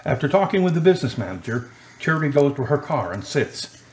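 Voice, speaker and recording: A man's voice: on the high side, very animated, fairly quick. A man giving scripted radio drama narration in an echoing space. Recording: roomy, echoing recording.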